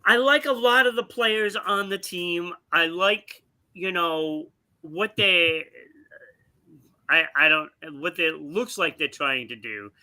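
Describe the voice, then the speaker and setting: A man co-hosting a live sports talk show, giving opinions in a deliberate way with pauses mid-sentence. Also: sits high, expressive, bright, forceful tone, rough recording.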